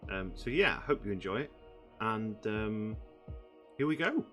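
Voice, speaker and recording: A man, croaky from just waking up, rambling through casual gameplay commentary with many fillers. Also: fairly low pitch, lively intonation, a little slow, fairly bright tone, soft background music. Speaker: gaming channel host.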